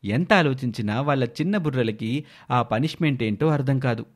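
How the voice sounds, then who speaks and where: A man's voice: medium pitch, lively intonation, normal speed. A male narrator with a mid-range voice reads a scripted moral story for animation. He describes the scenes as a storyteller.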